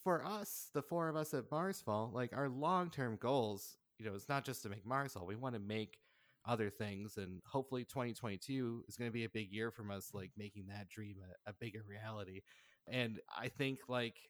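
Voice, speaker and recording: A man's voice: medium pitch, moderate pace, dry recording, clean studio sound. A man speaking earnestly and informally as a guest in a casual interview about his creative work. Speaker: podcast guest, audio drama creator.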